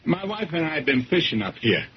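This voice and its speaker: A man's voice: fairly high pitch, very animated, a little fast. An actor playing a good-natured, folksy fisherman who rambles on colloquially in a 1970s radio drama. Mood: chatty, animated. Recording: dull, noisy old radio recording.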